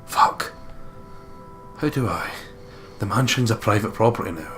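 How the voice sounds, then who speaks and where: Guy doing lets play chat n reading, lowish voice, sweary and jokey, quiet music under. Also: measured pace. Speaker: let's play host. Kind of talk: casual game commentary and reading aloud. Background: game music.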